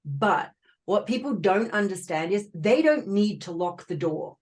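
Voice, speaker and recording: A woman's voice: medium pitch, animated, measured pace, darkish tone. A woman from Australia with an Australian accent touched by British tones, a podcast guest explaining her experiences earnestly with many fillers and restarts. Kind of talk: casual interview talk.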